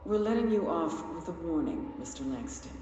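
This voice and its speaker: A cold government interrogator in a video game. His voice sits a little high and he speaks with curt, dry authority. Long reverb and faint noise surround him. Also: very animated, moderate pace, a little mellow. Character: stern male government bureau interrogator, curt and menacing.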